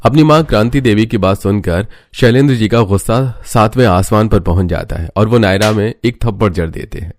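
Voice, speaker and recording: A man's voice: on the low side, fairly quick, hardly any pauses, a little mellow, slight background noise. A man reading a scripted story as its narrator.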